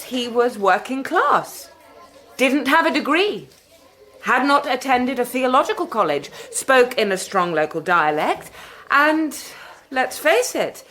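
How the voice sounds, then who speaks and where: Sardonic schoolteacher, measured and lively. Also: female voice, high-pitched, heavily acted. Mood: sardonic, instructive. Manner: brisk classroom authority, deadpan irony. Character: defiant, sardonic woman schoolteacher giving a scripture lesson. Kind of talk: radio drama acting.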